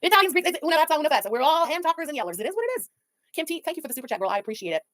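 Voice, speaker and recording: A woman livestreamer rattles off loud, profane chat at a breakneck pace. Her high voice swoops up and down. The sound is dry and close. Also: very animated, very fast, no room sound.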